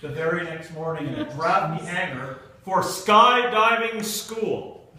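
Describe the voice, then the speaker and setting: A man giving a humorous storytelling speech to an audience in a theatrical manner, with dramatic pauses and stretched emphasis. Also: high-pitched, sharp tone. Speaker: Toastmasters club speaker telling a humorous tale. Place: indoor meeting room with an audience. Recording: echoey room, recorded from far off.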